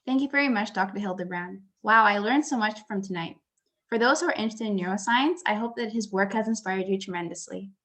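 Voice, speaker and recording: A woman's voice: medium pitch, brisk, fairly bright tone. A woman moderating an online conference, thanking one speaker and politely introducing the next. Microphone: video call.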